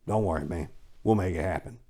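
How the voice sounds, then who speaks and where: A man acts out a carefree slacker guy in a story reading. The voice drops low and soft, unhurried and nonchalant, like nothing could bother him. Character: laid-back, carefree young male slacker friend.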